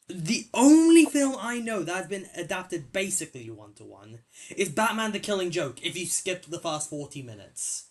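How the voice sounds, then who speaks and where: A young man hosts a casual podcast about films, his voice sitting a little high for a man. He talks in stop-start bursts, restarting his sentences and swinging between loud and soft. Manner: hesitant, many restarts and fillers, animated swings in loudness. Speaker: podcast host. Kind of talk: casual film discussion.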